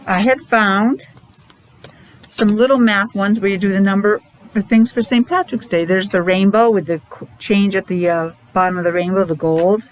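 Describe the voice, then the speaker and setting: A woman's voice: mid-range voice, a little hiss or hum, low-quality recording. A woman preschool teacher leading a counting lesson for young children, encouraging and asking lots of questions. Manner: encouraging, asks questions with pauses.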